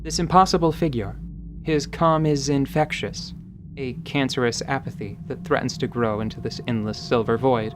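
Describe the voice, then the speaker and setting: A man reading scripted dramatic fantasy narration in a measured, literary, deliberate manner. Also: mid-range voice, over music.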